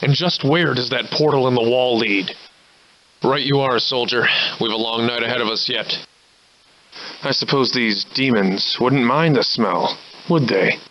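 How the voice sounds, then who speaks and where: A hard-drinking gentleman adventurer from a Victorian video game world. He is wry and weary, speaking in formal, florid, antique phrases with a theatrical edge and a salty tongue. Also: mid-range voice, dull audio, a little hiss or hum. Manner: florid, old-fashioned, formal diction.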